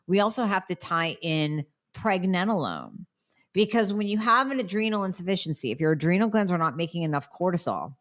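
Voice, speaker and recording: A woman doctor hosting a podcast, answering listener questions in a blunt, emphatic way with sarcastic asides, sounding nasal as she gets over a cold. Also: lowish voice. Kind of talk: solo podcast Q&A.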